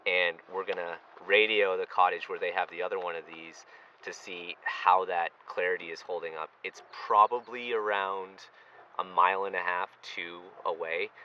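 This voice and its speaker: A man's voice: low voice, sharp tone, highs cut off, slight background noise. A man giving a casual, rambling, conversational product review, recorded outdoors. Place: outdoors on a lake.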